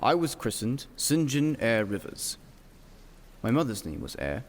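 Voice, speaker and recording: An actor playing a reserved, stern young clergyman in an audio drama, speaking formally with dry, measured delivery. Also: medium pitch, sing-song. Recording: long hall-like reverb.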